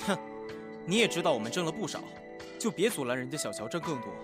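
A combative businessman, acted by a man, argues in an audio drama over clear music. His voice is high and quick, with sarcastic swings. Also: big pitch swings. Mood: scornful. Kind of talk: Chinese amateur radio drama acting.

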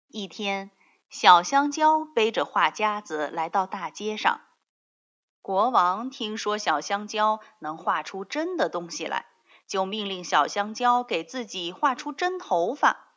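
A woman narrating a scripted fairy tale for young children in an expressive storytelling manner. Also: medium pitch, dull audio.

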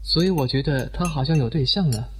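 A man playing a measured middle-aged brother of a late tycoon in an audio drama, explaining matter-of-factly. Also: on the high side, slight background noise, natural voice. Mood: reflective. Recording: faint music behind.

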